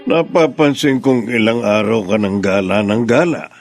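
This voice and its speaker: A man's voice: on the low side, lively intonation, normal speed, dry recording, soft background music. A man playing a stubborn, suspicious middle-aged widowed father in a Filipino radio drama. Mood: suspicious, uneasy.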